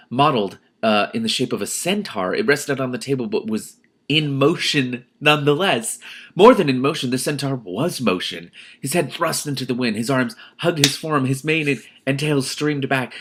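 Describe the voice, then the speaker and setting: A man reading a novel aloud as host, expressive and emotionally invested, breaking in with spontaneous, effusive reactions. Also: mid-range voice.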